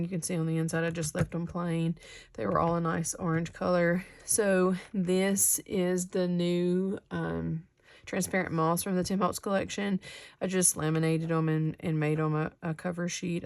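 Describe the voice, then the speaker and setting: A woman's voice: fairly low pitch, moderate pace, creaky. A woman with a cold, a little sniffly, casually showing her handmade craft journals at her work table, rambling with many ums. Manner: relaxed, rambling, many ums and self-corrections. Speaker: craft channel host. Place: craft table indoors, hands-on demo.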